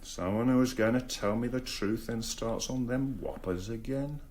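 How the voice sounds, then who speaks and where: A man acting a dogged, sarcastic English police inspector in a radio drama, questioning with measured, mock-polite pressure. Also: medium pitch, darkish tone, a little hiss or hum, close to an everyday voice. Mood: probing, sardonic.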